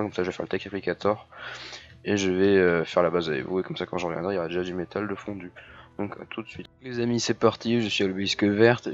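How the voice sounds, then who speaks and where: A hoarse man chatting casually as he plays a video game, full of fillers and restarts. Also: low-pitched, highs cut off. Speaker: gaming YouTuber. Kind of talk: casual let's-play commentary.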